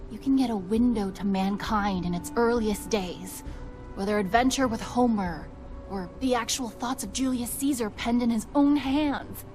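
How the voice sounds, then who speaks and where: Casting: actress as principled, wistful shop owner, fairly high voice, firm and earnest, game dialogue with music behind. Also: female voice, darkish tone, lightly acted. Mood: passionate. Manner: firm, earnest about books. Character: wistful, principled widowed bookseller, adult woman. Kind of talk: video game character dialogue.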